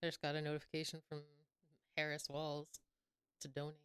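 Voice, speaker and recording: Smooth, expressive young woman podcaster. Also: deep voice, brisk. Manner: giggly, expressive, with a flowing speaking melody. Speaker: podcast co-host. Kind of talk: casual chat.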